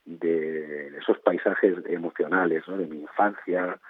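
Guest novelist, fifties, low husky voice, tinny line, halting and reflective. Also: male voice, fairly even intonation, no echo. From Spain. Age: 50s. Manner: thoughtful, many repeated words and tag questions. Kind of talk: reflective interview answers.

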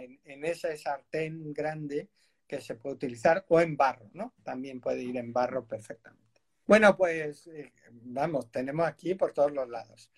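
A male radio host in casual conversation, rambling and laughing often as he talks, recorded on a studio microphone. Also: on the high side.

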